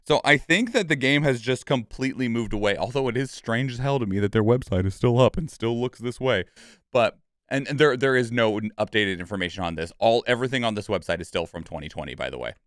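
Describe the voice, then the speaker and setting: Clear-voiced man rambling through casual reaction commentary. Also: medium pitch, animated, quick pace, dry recording, clean studio sound. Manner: rambling, thinking aloud, conversational. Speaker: streamer and reaction video host.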